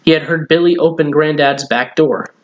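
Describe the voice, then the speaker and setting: A man's voice: a little high, fairly even intonation, normal speed, muffled recording. A man reading a children's storybook aloud, occasionally stumbling and rereading a word. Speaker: story reader.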